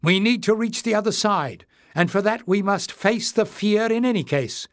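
Man playing a high-voiced, determined young heroine. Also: expressive, moderate pace. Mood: urgent, desperate. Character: determined, earnest young heroine, bold and urgent.

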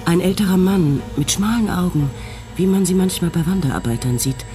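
An actor playing the narrator of a dark, surreal radio drama, with literary, incantatory, repetitive phrasing. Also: male voice, high-pitched, fairly quick, music in the background, natural voice.

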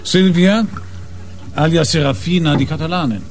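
An actor playing a gruff, sly police inspector in a radio play, curt and mocking in his questioning. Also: on the high side, moderate pace, soft background music, close to an everyday voice. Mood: stern, probing.

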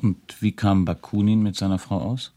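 An actor playing a puzzled, persistent researcher who questions witnesses in a measured, inquiring way in a German radio drama. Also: male voice, fairly low pitch, fairly even intonation, dark tone, close to an everyday voice. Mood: curious, probing.

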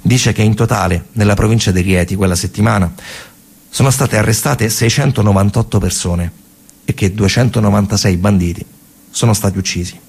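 A man with a central Italian, Roman accent performing a colloquial, emphatic theatrical storytelling monologue, pausing and repeating words, in a gravelly, husky voice. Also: on the low side, a little mellow. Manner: colloquial, emphatic, with pauses and repetitions. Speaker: stage actor and narrator.

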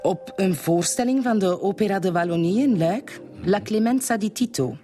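A woman's voice: mid-range voice, moderate pace, dark tone, soft background music, lightly acted. An actress playing a composed, haughty criminologist in her thirties under police questioning in a radio drama. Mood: coolly assured. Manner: cool, articulate, self-assured. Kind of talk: radio drama acting in Dutch.

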